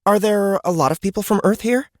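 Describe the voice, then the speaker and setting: Casting: firm, prim married woman acted by a narrator, higher register, dry wit, fantasy storybook. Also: brisk, no echo, natural voice. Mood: dry, earnest. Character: self-assured married woman, dryly firm, fantasy newcomer.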